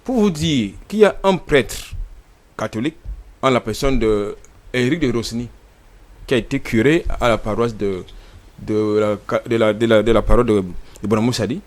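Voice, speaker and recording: A man speaking as a panelist in a televised debate, measured, repeating words as he builds his point. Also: mid-range voice.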